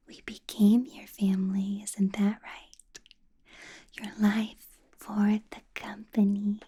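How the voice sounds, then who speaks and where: A woman voicing a doting, possessive vampire boss in a soft, breathy ASMR whisper, intimate and coaxing with quiet menace. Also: a little high, exaggerated voice. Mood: amused, quietly menacing.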